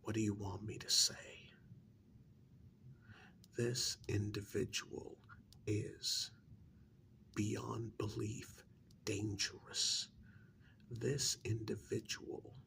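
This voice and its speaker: A man playing a stern, disappointed senior agent of a secret government agency in a soft-spoken ASMR role-play, breathy and gravelly, with a deliberate, formal, condescending manner. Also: fairly low pitch, little pitch movement, full character voice. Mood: exasperated, grave. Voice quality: breathy, half-whispered, gravelly.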